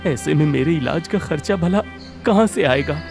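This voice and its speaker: Man playing a lively, kind-hearted village labourer. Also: on the high side, normal speed, creaky, music in the background. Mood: distressed. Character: kind-hearted, expressive young labourer husband.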